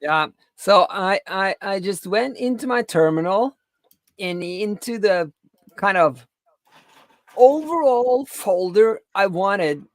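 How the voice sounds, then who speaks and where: A woman's voice: medium pitch, lively intonation, slow, dry recording. A woman co-hosting a coding livestream in casual, unscripted chat.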